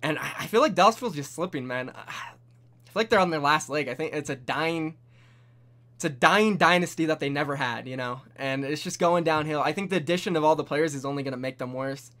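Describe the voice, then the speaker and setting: A young man hosting a casual esports prediction chat, animated and full of bold, hype-driven takes. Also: a little high, a little fast. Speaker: host.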